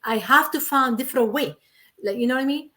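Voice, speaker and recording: Earnest Syrian-born woman, halting English. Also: high-pitched, pushed and bright. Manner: earnest, repeats herself, simple non-native English. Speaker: guest. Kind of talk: casual interview.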